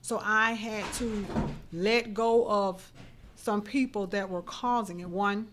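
A woman's voice: mid-range voice, fairly even intonation, takes it slowly, noisy background. A middle-aged woman hosting a radio interview in a small studio, talking earnestly and reflectively into a desk mic. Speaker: host. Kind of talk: casual interview chat. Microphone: desk mic on stand.

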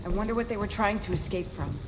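A woman's voice: medium pitch, fast talker, heavy background noise, lightly acted. A woman voicing a determined special agent in a survival horror video game, terse and serious. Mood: wary, focused. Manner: terse, serious, swearing under pressure. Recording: loud game music behind.

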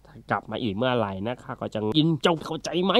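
A man's voice: mid-range voice, lively intonation, fast, natural voice. A man voicing a brave, wily turtle with a confident, teasing manner in a children's fable. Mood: defiant, firm. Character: brave, wily, unflappable turtle who outsmarts bullies. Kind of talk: children's animal fable character acting.

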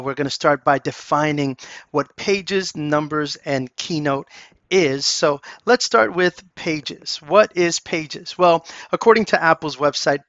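A man narrating a casual software tutorial, chatty and enthusiastic, with lots of fillers. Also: fairly high pitch, no echo. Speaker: course instructor. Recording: dull, slightly lo-fi dry voice-over.

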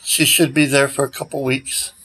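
An older man in his sixties talking casually and haltingly to a handheld phone outdoors, his voice recovering from a recent illness, often trailing off. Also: medium pitch, little pitch movement, sharp tone, husky. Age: older, around 60s. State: recovering from nearly losing his voice. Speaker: vlogger. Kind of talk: rambling casual selfie vlog. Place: outdoors beside a brick building. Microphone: handheld phone at arm's length.

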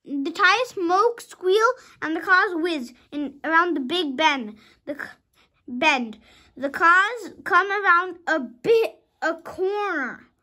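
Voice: very high voice, animated, slow, bright, forceful tone. A young child of about five reading a storybook aloud dramatically, sometimes stumbling over words and rereading them.

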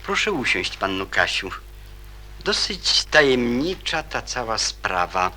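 An actor playing a courteous, perceptive man in a radio drama, husky and politely questioning. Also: medium pitch, very animated, full character voice. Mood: thoughtful, considerate. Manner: polite, measured, gently questioning. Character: courteous, perceptive adult man, calmly probing and considerate. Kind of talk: Polish radio drama acting.

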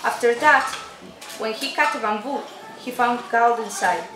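A woman's voice: fairly high pitch, unhurried, noisy background. A young woman reading a folktale narration aloud from a script for a puppet show. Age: young adult. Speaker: student narrator. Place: indoor room at a day care centre. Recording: echoey room, clatter of crowd and dishes.

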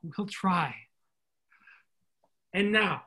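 Man acting a slow, ardent army captain. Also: high-pitched, animated, bright, forceful tone, slightly rough, exaggerated voice. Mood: tender, intense. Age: middle-aged. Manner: earnest, theatrical, ardent. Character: devoted, romantic army captain home from war. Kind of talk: stage comedy acting. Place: indoor room, recorded over video call.

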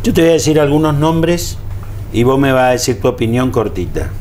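Middle-aged male host, mid pitch, short agreeable questions, lapel mic. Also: big pitch swings, a little fast, darkish tone, a little hiss or hum. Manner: brief prompts, agreeable. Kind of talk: interview questions.

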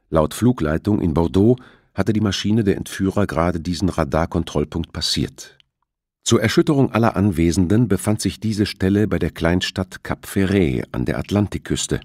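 A man with a husky voice reading a thriller novel as an audiobook narrator. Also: low-pitched, darkish tone, no room sound, clean studio sound.

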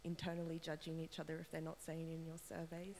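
A woman's voice: low voice, monotone, quick pace, a little mellow, slight background noise. A woman in the audience asking a question at a seminar, hesitant with many ums and a wry edge. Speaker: audience member. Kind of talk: question from the floor. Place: lecture room. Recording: distant voice with long reverb in a lecture hall.

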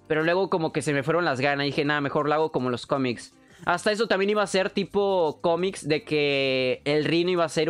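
A young man from Peru with a Mexican Spanish accent, chatting casually on a live stream, joking, excitable and full of slang. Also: on the high side. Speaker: streamer and animator.

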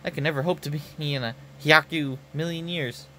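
A man's voice: on the high side, steady tone, a little fast, bright, forceful tone, a little hiss or hum, low-quality recording. Man doing a jittery shut-in princess girl. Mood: envious. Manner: self-pitying, jittery, mutters asides. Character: shy gloomy young woman shut-in princess.

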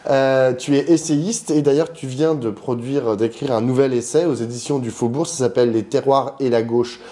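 A man hosting an interview, asking long informal questions in a halting way, with many restarts. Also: medium pitch, fairly even intonation, mellow. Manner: hesitant, halting questions with frequent fillers and restarts. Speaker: interviewer and host.

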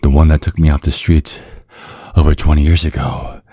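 A man playing a seductive, vengeful vampire in an ASMR roleplay, taunting in a sultry, husky, breathy voice. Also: very deep voice, fairly even intonation, normal speed, dark tone, no room sound, clean studio sound, full character voice. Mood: bitter, taunting. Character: seductive, vengeful male vampire, former protégé of a priest.

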